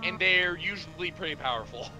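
A man's voice: on the high side, brisk, low-quality recording. A man casually joking and swearing in exasperated banter while commenting on a video game as part of a group. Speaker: voice actor in a group video game dub playthrough. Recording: music behind the voice.

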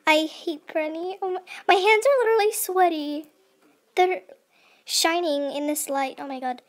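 A woman's voice: extremely high pitch, normal speed. A young woman giving casual, nervous commentary as she plays a horror game, with an informal, jumpy manner. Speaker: gamer, video creator.

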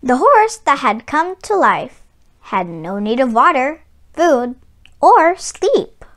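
A woman reading a children's picture book aloud, with expressive, deliberate phrasing. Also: sits high. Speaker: storyteller and read-aloud channel host.

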